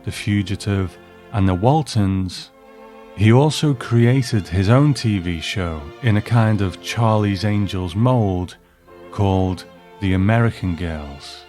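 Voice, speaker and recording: A man's voice: fairly low pitch, slow, darkish tone, faint music behind. A man hosting a review, narrating from a script. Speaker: host.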